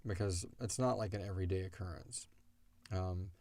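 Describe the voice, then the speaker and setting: A man's voice: deep voice, normal speed, warm tone, dry recording. A man co-hosting a podcast, answering fan questions in a casual, rambling and joking way about the story he created. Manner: rambling, joking explanations with acted-out quotes.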